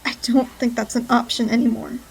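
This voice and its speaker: High-voiced, wary female informant. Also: level tone, brisk, gravelly, husky, low-quality recording. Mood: tense, resigned. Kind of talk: audio drama dialogue.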